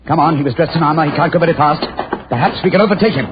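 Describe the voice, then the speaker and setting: An actor playing a shrewd, self-assured English detective in a 1940s radio drama, with a British accent and a crisp, analytical manner. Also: male voice, a little high, racing, over loud music, lightly acted. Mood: urgent, excited. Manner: crisp, analytical, slightly condescending. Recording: muffled, noisy old 1940s radio recording.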